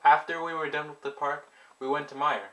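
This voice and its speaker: A man's voice: fairly high pitch, little pitch movement, fairly quick, sharp tone, low-quality recording. A man narrating a vlog and telling stories to his viewers. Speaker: vlogger.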